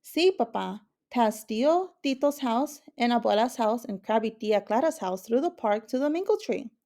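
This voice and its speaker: A woman's voice: on the high side, lively intonation, moderate pace, dark tone. A woman voicing an eager, earnest young boy in a storybook read-aloud.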